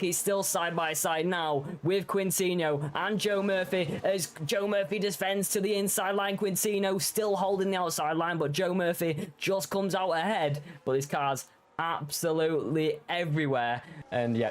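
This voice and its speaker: Easygoing Yorkshire racing co-commentator man. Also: on the high side. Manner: easygoing, self-deprecating, filler-heavy.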